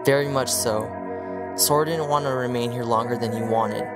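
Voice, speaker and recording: A man narrates an audio drama at a medium pitch. Loud music runs behind the scripted narration and competes with the voice. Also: moderate pace. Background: very loud background music.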